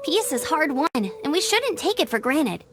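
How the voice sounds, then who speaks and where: An eager young female scout with a high, lively voice that leaps around with enthusiasm. She sounds bubbly and upbeat, quick to cheer. Soft music plays underneath. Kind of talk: video game dub acting.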